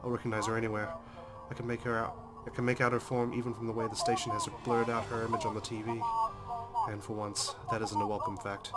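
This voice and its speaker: A man's voice: on the low side, little pitch movement, quick pace. A man hosting a let's play, reading visual novel narration aloud dramatically with casual, emotionally involved comments. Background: game music.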